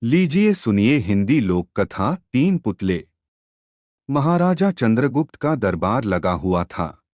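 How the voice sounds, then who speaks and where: A man narrating a scripted folk tale as a storyteller, in a measured, expressive audiobook manner. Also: mid-range voice, no room sound, clean studio sound.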